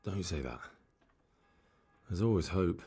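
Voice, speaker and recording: A young medieval blacksmith's son in a game drama, with a low voice over music. He is earnest and dutiful, and sounds battered, weak and weary. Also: lively intonation, slow pace, mellow, husky, full character voice. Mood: weary, concerned. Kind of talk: dramatic video game dialogue.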